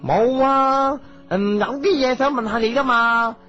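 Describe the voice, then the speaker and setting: A woman's voice: a little high, a little slow, fairly bright tone. A woman voicing a fussy, rambling old wizard king with a Hong Kong Cantonese accent in a radio comedy drama. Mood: teasing, grumbling. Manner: rambling, hesitant, exclamatory. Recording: slightly dull audio over music.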